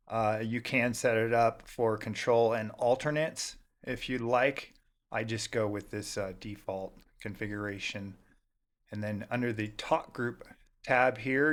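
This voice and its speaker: A man with a mid-range voice narrates a software tutorial. He is informal and casual, guiding the listener one step at a time as the host. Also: medium pitch.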